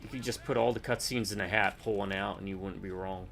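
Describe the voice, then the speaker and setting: A man with a low, crackly voice and a sharp edge talks over a co-op game. He is an offhand co-host, hesitating and thinking aloud as he chats. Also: fairly even intonation, fast, creaky. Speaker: gaming video co-host. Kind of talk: casual co-op gameplay chat.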